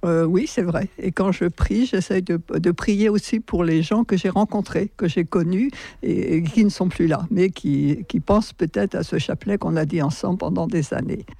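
A woman answers interview questions softly and with some hesitation. Her voice sounds gravelly and husky, and the studio sound is dry. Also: on the low side, normal speed, hardly any pauses, no echo. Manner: hesitant, soft-spoken. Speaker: prayer group member.

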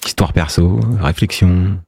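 Male interview host, very low voice, dry close sound, relaxed. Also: a little slow, gravelly, no room sound. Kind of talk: radio interview.

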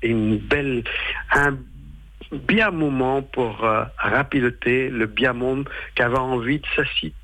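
Creaky-voiced man, native patois speaker, casual interview. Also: mid-range voice, slow pace, bright, forceful tone, a little hiss or hum, low-quality recording. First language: western French patois. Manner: hesitant, searching, colloquial. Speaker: stage performer, storyteller.

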